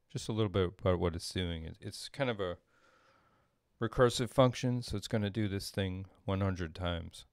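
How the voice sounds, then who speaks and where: A middle-aged man giving an informal tutorial at his desk, thinking aloud in a halting way as he explains. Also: lowish voice, clear, resonant tone, no echo, studio quality. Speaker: composer demonstrating audio programming. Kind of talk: informal screen-share tutorial. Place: small indoor room at a desk.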